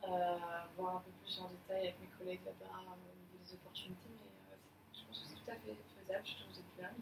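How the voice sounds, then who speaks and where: A woman's voice: lowish voice, level tone, fast. A woman playing a courteous manager. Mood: formal. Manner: hesitant, frequent fillers, formal tone. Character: female manager running an appraisal, courteous. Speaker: manager in an appraisal role-play. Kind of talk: amateur role-play of a job interview. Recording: echoey room, mic far off, clear noise.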